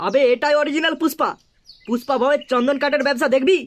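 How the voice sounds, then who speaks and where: A man's voice: very high-pitched, steady tone, fast. A man acts out a comedy cartoon sidekick, a cheeky fellow. He needles and placates in a fawning way. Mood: boastful, reassuring. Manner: teasing, then placating. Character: cheeky, fawning male sidekick of a gang boss.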